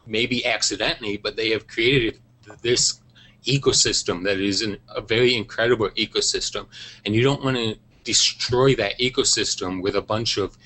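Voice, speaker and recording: A man arguing his point on a web panel in a low but bright, forceful voice. He is firm in his views yet keeps hedging with "um" and "I think". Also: moderate pace, rough recording. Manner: opinionated, hedging with fillers. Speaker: panel guest. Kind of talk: casual panel discussion. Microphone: video call.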